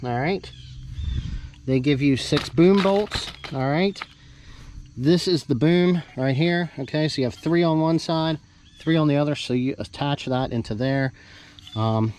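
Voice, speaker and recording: A man giving a casual, off-the-cuff unboxing commentary, halting and thinking aloud as he handles parts with a camera in hand. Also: on the high side, a little mellow. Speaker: hobbyist vlogger doing a product unboxing. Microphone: handheld camera held while working, varying distance. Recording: noisy handheld camera audio, clear background noise.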